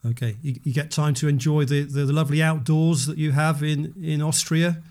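A man's voice: a little high, flat delivery, brisk, a little mellow, no echo. A man hosting a business podcast, reading introductions and putting questions to a guest. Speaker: podcast host and magazine news editor.

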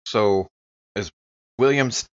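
A man's voice: fairly low pitch, slow. A man playing a tabletop game, improvising in character, on a slightly dull, lo-fi recording.

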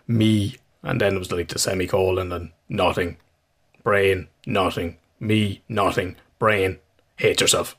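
A man's voice: low voice, fairly even intonation, a little slow. A man in his forties with an Irish accent, talking frankly in a casual chat, swearing often and laughing at his own jokes. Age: 40s. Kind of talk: casual conversation.

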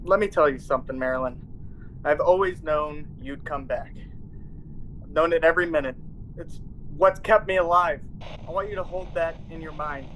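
A man's voice: on the high side, normal speed, fairly bright tone, close to an everyday voice. Casting: actor as lovesick male space commander, light voice, urgent, anguished radio melodrama, faintly noisy recording. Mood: urgent, devoted. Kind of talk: classic radio drama acting.

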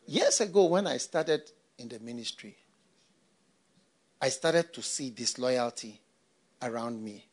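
A man's voice: medium pitch, lively intonation, measured pace, pauses often. An older man from Ghana, a pastor, preaching a sermon with repeated phrases and rhetorical questions.

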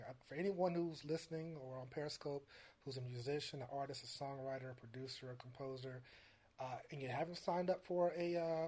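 A soft-spoken man being interviewed as a music producer, talking casually with many fillers and restarts. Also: fairly high pitch.

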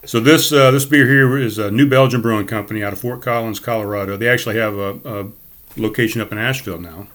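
A man hosting a casual podcast from his home studio, talking with a big, projecting voice and a rambling, relaxed flow. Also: on the low side, quick pace. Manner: loud, projecting voice. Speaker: podcast host. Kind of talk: casual chat. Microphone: studio mic on a board.

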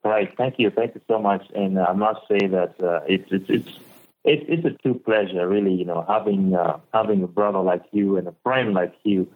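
Young guy, low voice, earnest, kinda phone-line tinny audio. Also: moderate pace. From Uganda. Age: young adult. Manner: earnest, repeats words while thinking. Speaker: guest. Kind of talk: podcast interview. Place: home in Uganda, remote call.

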